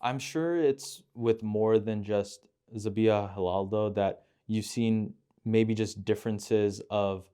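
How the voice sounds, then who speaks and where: A man's voice: fairly low pitch, fairly even intonation, slow pace, hardly any pauses, mellow, clear, resonant tone. A man hosting a podcast, asking thoughtful, winding questions and correcting himself as he goes. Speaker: podcast host. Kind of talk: casual conversational interview.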